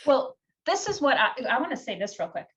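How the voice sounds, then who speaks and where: A woman's voice: sits high, big pitch swings, a little fast, fairly bright tone. Emphatic woman on muffled remote audio. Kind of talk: casual conversation.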